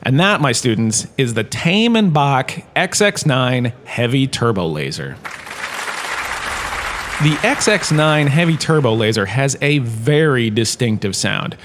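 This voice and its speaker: Man acting as self-satisfied male professor, washed-up performer, lively pitch swings, chatty, digressive, comedic sci-fi lecture, audio drama. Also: measured pace, some chatter behind, slight background noise. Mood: instructive, self-assured.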